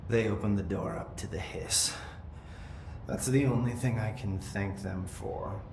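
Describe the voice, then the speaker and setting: In a roomy space with a low rumble, a possessed young man from a video game. He speaks low and very slowly, with a detached, bitter menace. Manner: slow, deliberate, detached. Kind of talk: game cutscene acting.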